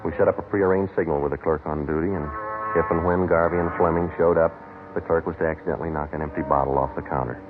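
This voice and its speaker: Terse 1950s radio detective, extremely deep, matter-of-fact, vintage lo-fi sound with music behind. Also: male voice, fairly even intonation, quick pace. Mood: deadpan. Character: terse male police detective sergeant narrating his case. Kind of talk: 1950 radio police drama, clipped scripted narration. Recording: muffled old 1950 radio recording.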